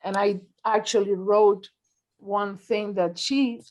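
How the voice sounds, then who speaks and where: An older woman from Cuba, a native Spanish speaker, talking haltingly on an art panel about her experience. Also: mid-range voice, steady tone, darkish tone. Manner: halting, searching for words. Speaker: panel artist. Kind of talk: conversational panel discussion.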